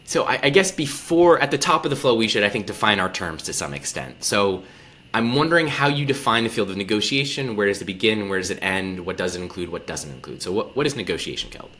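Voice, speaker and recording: A man's voice: on the low side, expressive, quick pace, bright, forceful tone. A man hosting a podcast interview, welcoming his guest and asking thoughtful questions with enthusiasm. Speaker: podcast host.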